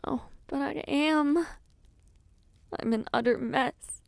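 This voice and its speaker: High-voiced, anguished young princess, acted by a woman. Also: vocal fry, dry recording, full character voice. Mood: self-loathing, anguished. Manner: formal, halting, anguished speech. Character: anguished young princess with dark magic, full of self-loathing. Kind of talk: dramatic audio roleplay acting.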